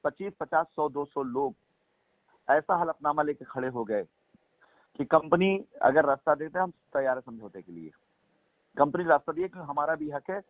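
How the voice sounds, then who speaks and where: An insistent man presses his case on a call, his somewhat high voice rising and falling in lively swings. The sound is thin and phone-like, with no room sound at all. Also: moderate pace, no room sound. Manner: insistent, emphatic, repeats his points. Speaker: company representative on a phone call. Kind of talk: persuasive phone conversation. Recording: tinny phone line.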